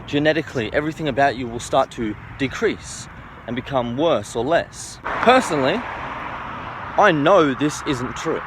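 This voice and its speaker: A man's voice: mid-range voice, animated, normal speed, noisy background. A man around thirty giving an informal opinion monologue, emphatic and self-assured, repeating his points and often checking for agreement. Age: 29, turning thirty. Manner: emphatic, self-assured, repetitive, seeking agreement with "okay" and "right". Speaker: self-styled health and philosophy educator.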